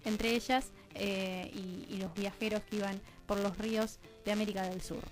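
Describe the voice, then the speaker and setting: A woman with an Argentine accent explaining things conversationally as an interview guest, halting and correcting herself as she goes. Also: medium pitch, steady tone, poor audio. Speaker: interview guest, radio drama scriptwriter. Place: radio studio. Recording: music clearly playing behind voice.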